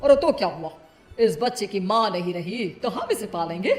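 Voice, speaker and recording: A woman's voice: mid-range voice, expressive, moderate pace, reverberant, faint music behind, natural voice. A woman playing a stern, protective older transgender mother figure in a cartoon story, speaking in a firm, scolding way. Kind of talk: cartoon moral story acting.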